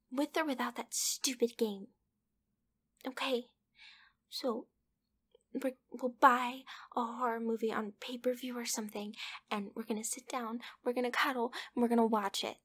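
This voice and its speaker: A woman voicing a bratty, clingy, possessive young girlfriend in an ASMR roleplay, pouty and demanding, with a breathy, often whispery delivery. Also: a little high. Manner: pouty, demanding, halting, with sighs. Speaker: voice actress in a roleplay.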